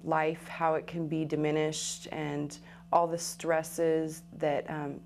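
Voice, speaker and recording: A woman's voice: fairly low pitch, darkish tone, a little hiss or hum. A young woman, a college student, describing things informally in an interview testimonial.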